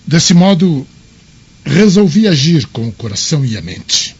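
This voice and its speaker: A rather high, husky voice for an authoritative Roman governor. He speaks like a solemn orator weighing a decision, with wide swings of pitch, in a dull-sounding radio drama. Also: big pitch swings, a little slow, full character voice. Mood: deliberating, authoritative.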